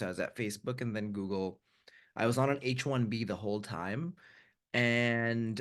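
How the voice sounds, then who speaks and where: A man in his thirties, from India with an American accent, speaking as a webinar guest over a video call, telling his story candidly and reflectively with frequent restarts. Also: medium pitch. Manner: frank, reflective, frequent restarts and repeated words.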